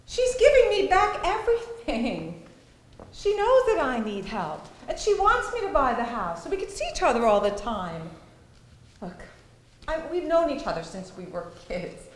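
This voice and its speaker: A woman's voice: high-pitched, very animated, normal speed, slight background noise. Woman acting an earnest, swooping, defensive wife. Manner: emphatic, earnest. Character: defensive, trusting, idealistic wife longing for community. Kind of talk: stage play acting. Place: indoor theatre stage. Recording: echoey hall, long reverb.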